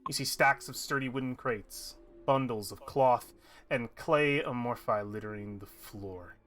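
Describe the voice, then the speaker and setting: A man runs a tabletop game, describing rooms in a loose, rambling way. He drops in fillers and often restarts his sentences. His tone is bright and forceful, at a middle pitch. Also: unhurried. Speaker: game master.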